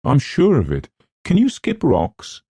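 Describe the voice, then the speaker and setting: A man's voice: on the high side, wildly swooping pitch, a little slow, mellow, breathy edge, dry recording, voice pushed up, exaggerated voice. A man voicing a playful, teasing young woman with a chatty, whimsical manner in an audiobook.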